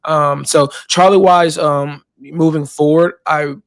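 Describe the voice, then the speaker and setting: A young man with a drawl talks college football, his voice worn hoarse. He holds a narrow, even pitch sitting a bit high for a man, but his words tumble out full of fillers and restarts. The sound is dry and close. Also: slow, dry recording. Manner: rambling, passionate fan with many fillers. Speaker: co-host. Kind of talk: casual sports podcast chat.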